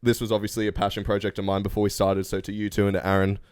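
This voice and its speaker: Fast-talking low-voiced podcast host. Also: male voice, completely flat delivery, a little mellow, smooth, no room sound, studio quality. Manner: upbeat, runs the show. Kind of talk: casual podcast chat.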